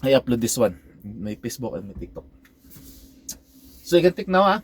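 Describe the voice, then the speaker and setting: A Filipino man who is a truck driver and vlogger, chatting in a friendly, excitable way and switching between Tagalog and English. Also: medium pitch, fairly quick, breathy edge. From Philippines. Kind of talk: casual vlog chat. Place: truck parking lot outdoors.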